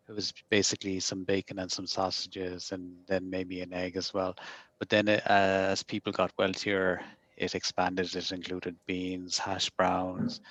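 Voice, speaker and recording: Man, lowish even voice, dry close sound, informal explanation, hesitant, matter-of-fact. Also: no room sound. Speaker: session participant.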